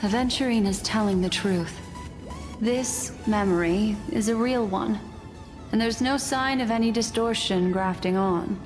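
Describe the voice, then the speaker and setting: Female actor for mysterious, poised woman in a story game. Measured delivery, faint music bed. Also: mid-range voice, natural voice. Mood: calm, mysterious. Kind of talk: video game story dialogue.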